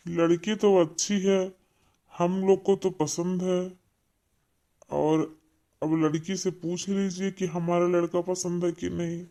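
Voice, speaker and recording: A man's voice: sits high, level tone, slow pace, mellow. A man voicing a polite but impatient father of the groom in a comedy cartoon. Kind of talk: Hindi comedy cartoon dubbing.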